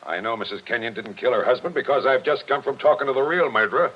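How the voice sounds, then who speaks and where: Gruff, animated old-radio police captain. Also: male voice, medium pitch, quick pace. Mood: insistent, explaining. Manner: forceful, insistent, clipped tough-cop talk. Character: gruff, relentless police captain laying out the truth. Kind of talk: 1940s radio acting. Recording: dull vintage 1940s radio recording.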